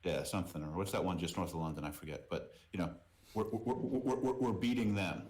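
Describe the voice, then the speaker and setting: A man's voice: deep voice, a little fast, darkish tone. A middle-aged man from Boston with an American accent, talking casually as an interview guest, repeating words and often saying "you know". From Boston, United States. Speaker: podcast guest, merchandise business executive.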